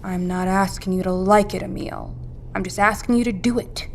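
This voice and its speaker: A woman's voice: medium pitch, steady tone, normal speed, close to an everyday voice. Actress as practical woman, resolute, mid-range voice, audio drama, light background noise. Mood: firm, hushed. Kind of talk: naturalistic audio drama acting.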